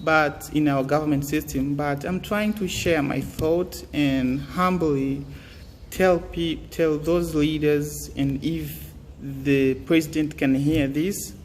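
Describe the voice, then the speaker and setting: Young man, earnest and halting; echoing room, faint hiss. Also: a little high, fairly even intonation. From Tanzania. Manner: earnest, halting, many fillers and restarts. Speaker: student giving advice. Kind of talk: casual motivational talk.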